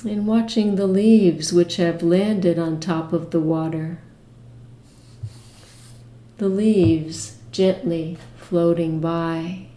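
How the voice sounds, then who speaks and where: A woman leading a guided meditation with imagery, speaking in a gentle, soothing manner with long pauses. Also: lowish voice, steady tone, roomy sound.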